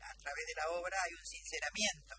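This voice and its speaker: A woman's voice: on the low side, fairly quick, pushed and bright, a little hiss or hum. An Argentine woman speaking earnestly as a guest in a radio discussion, emphatic and often adding short rhetorical check-in questions. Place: small radio studio. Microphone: studio desk microphone.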